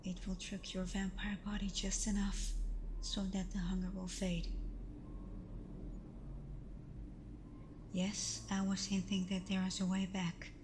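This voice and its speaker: A woman playing a tender, protective vampire girlfriend in an intimate ASMR roleplay, speaking softly and breathily with a hesitant, reassuring manner. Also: lowish voice, noisy background, lightly acted. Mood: grave, gentle. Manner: soft, breathy, hesitant, reassuring, often dropping toward a whisper. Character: tender, protective young female vampire girlfriend, secretive and self-doubting.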